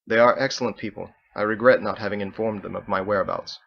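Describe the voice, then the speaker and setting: A composed, dutiful woman sailor from a storybook, voiced by a man in a lowish register. She speaks formally and articulately, with quiet pride. The sound is a little muffled and lo-fi. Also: fairly even intonation, quick pace, creaky.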